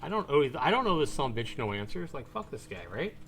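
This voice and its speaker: A man's voice: mid-range voice, big pitch swings, fast talker, fairly bright tone, slight background noise. A man giving casual, blunt, foul-mouthed live commentary as he plays through a game. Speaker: game streamer. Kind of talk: live playthrough, casual commentary and reading game text aloud.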